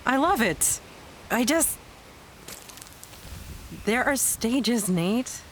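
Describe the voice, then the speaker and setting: A woman's voice: on the high side, lively intonation, drawn-out words, a little hiss or hum. A woman playing a spirited, impatient young adventurer in an audio drama, candid and earnest. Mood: reluctant, earnest.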